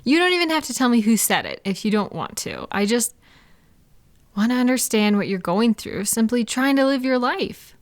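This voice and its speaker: A woman's voice: fairly high pitch, very animated, normal speed. A woman playing a caring, chatty girlfriend in an intimate one-sided roleplay audio, talking affectionately and reassuringly to a partner. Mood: protective, concerned. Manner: soft-hearted, reassuring, playful, affectionate. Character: caring, gentle, chatty girlfriend comforting a night-owl partner.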